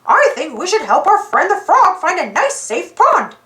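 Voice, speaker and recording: A woman's voice: very high voice, sing-song, moderate pace, a bit bright, echoey room, soft background music, voice pushed up, exaggerated voice. A woman voicing a cheerful, eager little male cartoon mouse from a children's storybook. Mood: cheerful, helpful.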